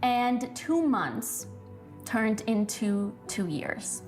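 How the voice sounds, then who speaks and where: Actress lady from mexico, animated storytelling, faint music behind. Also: a little high, drawn-out words. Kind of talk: interview storytelling.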